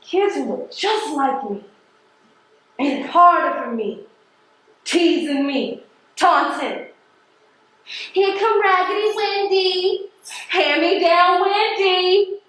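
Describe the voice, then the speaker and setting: Actress doing a bitter working class mail carrier woman, high voice, stage play, super echoey. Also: lively intonation, slow, long pauses, a bit bright, exaggerated voice. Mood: hurt, bitter. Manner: emphatic, colloquial, confrontational. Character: defiant, embittered working-class woman postal carrier from the projects. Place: theatre stage.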